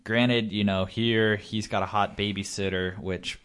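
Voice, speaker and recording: A man's voice: fairly low pitch, fairly even intonation, a little slow, a bit bright, clean tone. A man co-hosting a horror film podcast, chatting informally in a meandering way with lots of "you know". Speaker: podcast co-host reviewing horror films. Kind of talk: casual chat, film commentary.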